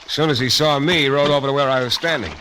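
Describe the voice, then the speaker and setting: An actor playing a tough, world-weary private detective who narrates a hardboiled 1940s-style radio drama with clipped, sardonic delivery. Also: male voice, mid-range voice, rapid-fire, noisy background, close to an everyday voice. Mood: wry, suspenseful. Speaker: detective and first-person narrator.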